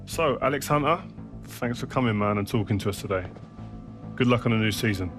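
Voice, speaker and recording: An actor playing a friendly, chatty interviewer in a casual game cutscene. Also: male voice, fairly low pitch, fairly quick, over music, natural voice.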